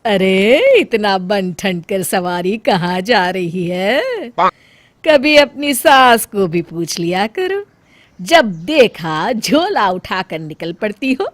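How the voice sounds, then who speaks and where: A woman's voice: mid-range voice, lively intonation, no room sound. Woman voicing a nagging, theatrical old mother-in-law. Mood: nagging, coaxing. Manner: scolding, drawn-out, theatrical delivery. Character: nagging, vain, quick-tempered elderly mother-in-law.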